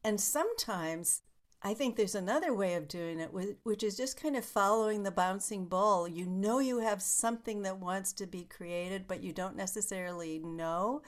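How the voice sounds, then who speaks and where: A woman in a casual conversation, telling stories with lively acted-out quotes. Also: mid-range voice, moderate pace.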